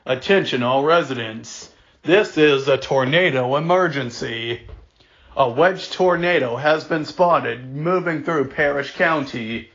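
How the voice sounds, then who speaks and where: A man reads a scripted mock emergency broadcast as the announcer, solemn, deliberate and emphatic, sometimes stumbling and repeating words. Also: mid-range voice, a bit bright, slight background noise. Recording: dull lo-fi recording.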